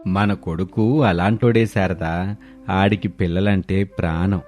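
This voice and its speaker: A man voicing a kindly, doubtful older father-in-law in a cartoon family story, speaking in a measured, rustic way. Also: deep voice, steady tone, darkish tone. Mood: fond. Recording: music bed under voice.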